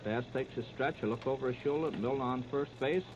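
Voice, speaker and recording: A man's voice: mid-range voice, quick pace. A man calling baseball play-by-play for 1930s radio, narrating in clipped, present-tense phrases. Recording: scratchy, noisy, muffled 1930s radio recording.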